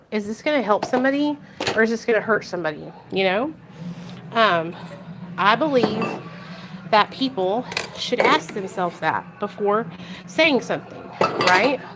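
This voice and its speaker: A woman vlogging about recovery, talking passionately and bluntly with a strong voice and free swearing. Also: medium pitch. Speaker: vlogger speaking on addiction recovery. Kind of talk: casual direct-to-camera talk. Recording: clear background noise with clicks and kitchen clatter.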